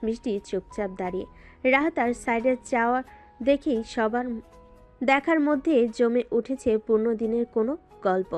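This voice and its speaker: A woman's voice: a little high, moderate pace, faint music behind. A woman narrating a scripted romantic story in a dramatic style.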